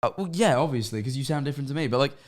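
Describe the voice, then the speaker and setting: A man's voice: mid-range voice, animated, rapid-fire. A young man with an East Midlands English accent, chatting casually on a stream, rambling and swearing with lots of fillers. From East Midlands, England.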